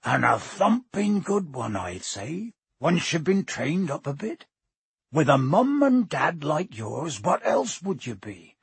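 A man voicing a huge, gruff, hot-tempered but good-hearted giant in a booming, growling, folksy way for a storybook. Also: big pitch swings, takes it slowly, no room sound, heavily acted. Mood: gruff, fond.

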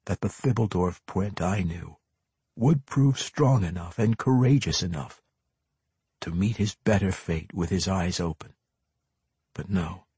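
Man acting a noble elf warrior, stern, formal, challenging, very husky, dry close sound, fantasy audiobook. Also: low voice, darkish tone, no echo, full character voice.

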